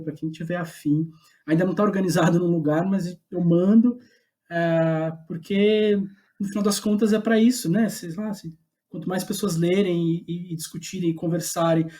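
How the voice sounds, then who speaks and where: A man's voice: high-pitched, mellow. A Brazilian man speaking as a guest playwright in a casual, reflective interview, searching for his words as he goes. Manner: thoughtful, halting, searching for words. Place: remote online call.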